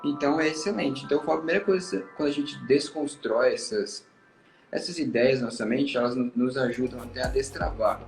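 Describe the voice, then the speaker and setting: A man's voice: medium pitch, fairly quick, creaky, faint music behind. A Brazilian man, an English teacher and traveler, encouragingly explaining language tips in casual conversation. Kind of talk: casual conversation giving advice. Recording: roomy echo.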